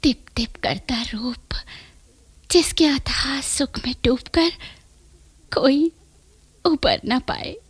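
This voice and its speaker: A woman's voice: high-pitched, takes it slowly, pauses often, slightly rough, exaggerated voice. A woman playing a dreamy, wilful young courtesan in love, speaking poetic, formal lines in a radio play. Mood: self-admiring, dreamy. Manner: poetic, formal, lingering delivery. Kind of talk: theatrical Hindi radio drama acting.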